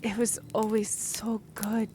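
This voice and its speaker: A woman's voice: mid-range voice, completely flat delivery, slow, warm tone, natural voice. A woman playing a friendly, earnest young half-elf royal messenger in a fantasy audio roleplay, speaking a little hesitantly. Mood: warm, grateful. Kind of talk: fantasy audio roleplay, one-sided conversation. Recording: noisy track with background ambience.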